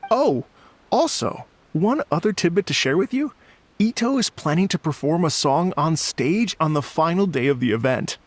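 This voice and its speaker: Friendly male festival planner for a game: lightly high voice, very expressive, polite, a touch hesitant. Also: normal speed, dry recording. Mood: earnest, polite. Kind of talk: animated game dialogue.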